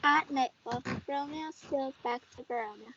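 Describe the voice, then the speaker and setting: Casting: female narrator, very high voice with fry, scripted school play reading, dry lo-fi audio. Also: normal speed, a bit bright, slight background noise. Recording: dull lo-fi audio.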